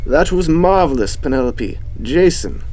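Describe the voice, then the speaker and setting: A man playing a controlling, smug, wealthy middle-aged father in an audio drama, speaking in a formal, measured, pompous way. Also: a little high, animated, a little mellow, soft background music.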